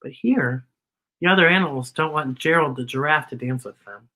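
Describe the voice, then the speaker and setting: A woman hosting children's story time, reading a picture book expressively and deliberately explaining it to young listeners. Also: low voice, bright, forceful tone.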